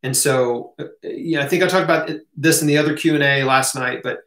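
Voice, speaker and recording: An American man with a fairly high voice talks casually to camera in a home office. The room adds a clear echo. He hosts a Q&A, giving opinionated answers in colloquial language. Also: steady tone, brisk, a bit bright. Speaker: host answering audience questions. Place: small home office, indoors.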